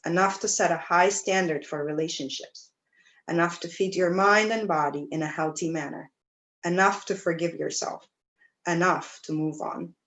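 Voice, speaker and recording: A woman teaching yoga, guiding breathing and meditation in a gentle, soothing way, with pauses between phrases. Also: lowish voice, steady tone. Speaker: yoga teacher leading a class. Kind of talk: guided breathwork and meditation instruction.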